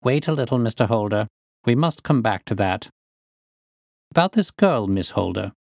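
A man voicing a composed gentleman detective in an audiobook, courteous, precise and probing. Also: fairly low pitch, animated, normal speed, vocal fry, no room sound, studio quality. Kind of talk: audiobook character reading.

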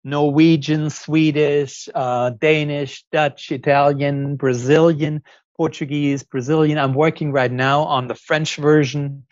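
A man in his forties with a German accent, a guest author speaking in a casual video-call interview, thoughtful and halting with many ums. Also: a little high, flat delivery, dry recording, lo-fi sound. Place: home office, indoors. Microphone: earphone headset on video call.

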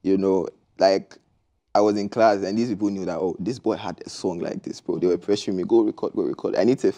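Laid-back young man, low mellow voice. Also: a little fast. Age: young adult. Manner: relaxed, laid-back, frequent "you understand?". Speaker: musician guest. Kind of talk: casual interview chat. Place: TV studio set, seated on sofa. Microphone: lapel mic.

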